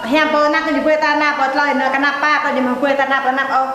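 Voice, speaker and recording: High-voiced young schoolteacher played by a woman, very echoey. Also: level tone, fast, bright, forceful tone. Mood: instructive. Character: young female schoolteacher addressing her class. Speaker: teacher. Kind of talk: acted classroom lesson in an educational film. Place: indoor school classroom. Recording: very echoey classroom, some background noise.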